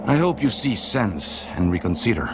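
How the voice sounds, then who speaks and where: A man's voice: lowish voice, big pitch swings, moderate pace, background noise. Pompous, swooping fantasy nobleman. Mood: wheedling, condescending. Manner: pompous, flowery, theatrical. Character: pompous, self-important nobleman, wheedling and condescending. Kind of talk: dark fantasy game character acting.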